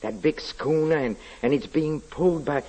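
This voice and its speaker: An actor playing a sensitive, nervous French gentleman in a 1940s radio drama, speaking haltingly and repeating his words as if writing a diary. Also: a little high, slightly rough, low-quality recording. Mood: dreamy, fond. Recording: vintage 1940s radio broadcast with music.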